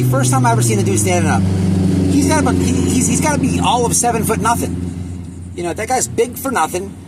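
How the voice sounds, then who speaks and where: Male online commentator, high voice, emphatic and repetitive casual rant, noisy ambient recording. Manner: repetitive, halting, emphatic, crude slang. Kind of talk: casual opinionated reaction rant.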